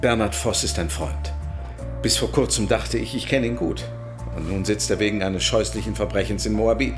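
A man acting a courteous, measured defence lawyer in his sixties in a radio drama. His voice is fairly low, formal and composed, with music clearly audible behind him. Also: lightly acted. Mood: grave, composed. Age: 60s. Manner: formal, composed, matter-of-fact.

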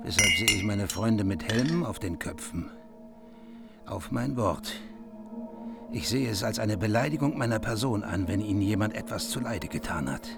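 A man's voice: on the low side, animated, normal speed, vocal fry, over music. A man acting a proud, gracious medieval king and doting father in a radio play, solemnly addressing his guests. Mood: offended, earnest.

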